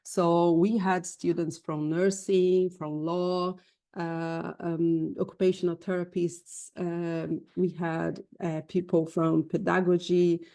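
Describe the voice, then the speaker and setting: A woman's voice: lowish voice, flat delivery, takes it slowly, gravelly, warm tone. A woman lecturer explaining course admissions in a webinar, with frequent fillers. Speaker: university lecturer. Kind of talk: webinar presentation.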